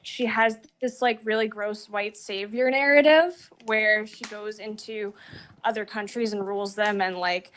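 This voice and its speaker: A woman's voice: medium pitch, steady tone, a little slow, fairly bright tone. A woman co-hosting a podcast, giving casual critical opinions in an emphatic way, peppered with filler words.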